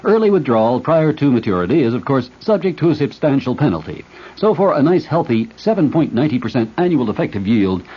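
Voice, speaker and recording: Folksy guy doing old radio ad, husky mellow voice, lots of inflection, muffled. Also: mid-range voice, sing-song, a little fast. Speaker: commercial announcer. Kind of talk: scripted radio advertisement. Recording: muffled vintage radio recording.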